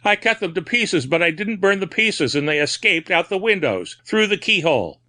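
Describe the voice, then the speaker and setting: A man's voice: on the high side, very animated, a little slow, pushed and bright, voice pushed up, heavily acted. A man voicing a refined but haunted asylum patient, feverish and confiding, who breaks into frantic cries.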